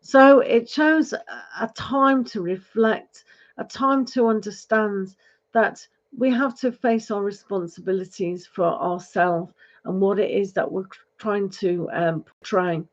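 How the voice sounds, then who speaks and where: Woman reading tarot on a live stream, soft advice, kinda losing her voice, a bit muffled. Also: medium pitch, measured pace. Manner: gentle, advising, many fillers and restarts. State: losing her voice, clearing throat. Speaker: tarot reader.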